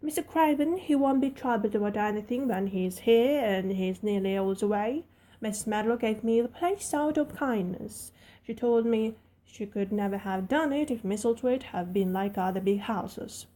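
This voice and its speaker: A woman's voice: mid-range voice, moderate pace, dark tone, natural voice. A woman voicing a plain-spoken young Yorkshire housemaid with broad dialect, cheerful and frank. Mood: chatty.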